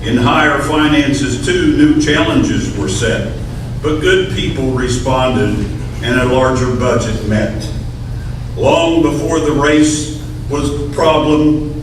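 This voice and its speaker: A man reads rhyming verse aloud at a slow pace in a church readers theater. His medium-pitched voice comes from far off in a very echoey, noisy hall. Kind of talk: reading rhyming poem aloud.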